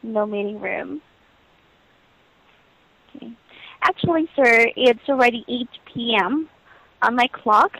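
A woman's voice: fairly high pitch, slow pace, vocal fry, long pauses, sharp tone, no room sound. A woman working as a hotel booking agent, speaking politely and patiently in formulaic customer-service phrases over the phone. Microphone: telephone line. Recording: muffled lo-fi phone call.

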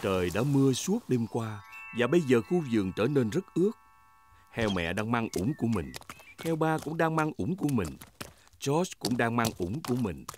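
A man's voice: mid-range voice, sing-song, normal speed. A man narrating a preschool cartoon in a scripted storybook style. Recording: loud music behind the voice.

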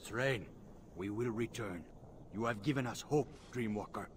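A low-voiced, solemn alien warrior acted by a man for a video game. He is a loyal ally who speaks in formal, clipped phrases, with faint noise behind the voice. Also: a little slow, lightly acted. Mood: grateful, solemn. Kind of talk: video game character acting.

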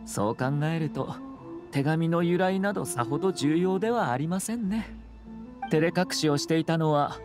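An actor playing a courteous, composed young noble commissioner in an anime game, speaking in measured, formal politeness. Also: male voice, fairly high pitch, lightly acted. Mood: gently probing. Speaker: government commissioner.

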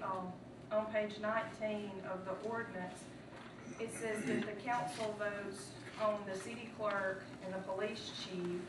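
Persistent council member, woman; level delivery, distant roomy pickup with a noisy background. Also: mid-range voice. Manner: probing, persistent questions. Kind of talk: questioning at a public meeting. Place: city council chamber. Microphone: desk microphone, far camera. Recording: echoey room, distant mic, background hum.

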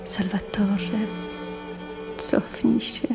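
An actress playing a watchful, soothing wife in a poetic radio play, measured and solemn. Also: female voice, mid-range voice, fairly even intonation, vocal fry. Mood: uneasy, solemn. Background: music.

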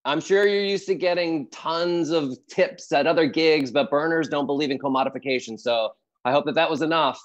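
A man's voice: a little high, normal speed, close to an everyday voice. Spacey, gushing male burner acted by a man, oblivious and effusive, over a dull video-call line. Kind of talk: comedic table read. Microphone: earphone mic on video call.

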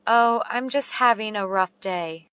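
Slow, carefully spoken woman. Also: medium pitch, a bit bright, clean tone, no echo. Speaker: English teacher reading dialogue. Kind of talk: slow read-aloud English practice phrases.

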